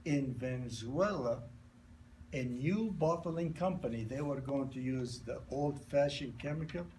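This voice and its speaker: A man's voice: mid-range voice, measured pace, darkish tone, far from the mic, slight background noise, poor audio. A man in his sixties with a non-native accent, who grew up in New York, gives an informal sales presentation. He speaks in broken grammar, repeats and restarts, and tells stories. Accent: non-native English accent. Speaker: product presenter.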